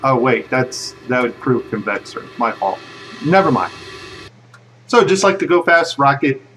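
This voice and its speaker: A man giving casual, speculative commentary over footage in a conversational way, with a slightly husky voice. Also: mid-range voice, sing-song, measured pace, fairly bright tone, far from the mic, a little hiss or hum. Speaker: video narrator.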